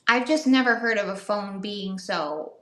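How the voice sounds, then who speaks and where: A woman's voice: medium pitch, measured pace, slight background noise. Chatty woman podcaster in an echoey room. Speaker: podcast co-host. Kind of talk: casual storytelling chat about the paranormal.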